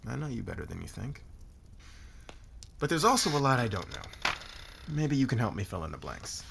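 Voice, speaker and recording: Commanding, smug corporate lord played by a man, expressive intonation, faint hiss behind, for ASMR roleplay drama. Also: medium pitch, creaky. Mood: cool, interrogating. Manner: commanding, sardonic, probing, deliberate. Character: demanding, smug corporate boss, a cool calculating lord. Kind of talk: scripted ASMR roleplay acting.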